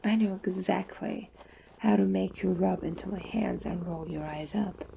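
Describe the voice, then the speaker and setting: A woman playing a possessive, coaxing owner doting on a captive pet in an intimate, often whispered ASMR roleplay, slow, teasing and condescending. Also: mid-range voice, creaky, dark tone, a little hiss or hum, rough recording.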